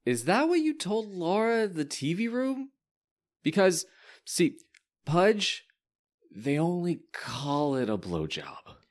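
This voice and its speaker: Male narrator voices a wry drunk teen boy, sluggish deadpan drawl, lots of pitch swing. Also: takes it slowly, heavily acted. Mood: teasing. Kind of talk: audiobook reading.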